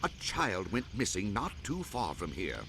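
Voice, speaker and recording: A man's voice: on the low side, sing-song, moderate pace, fairly bright tone, a little hiss or hum. A man playing a hearty, effusive British hunting guide as dialogue in a video game. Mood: chatty, earnest. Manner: effusive, exclamatory, old-fashioned chummy phrasing.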